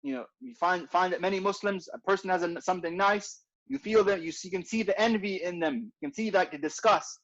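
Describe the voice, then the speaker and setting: High-voiced man teaching a religious class. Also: brisk, hardly any pauses, a bit bright. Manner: conversational, repeats points, frequent tag "right?". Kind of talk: informal religious class reading. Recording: dull lo-fi recording.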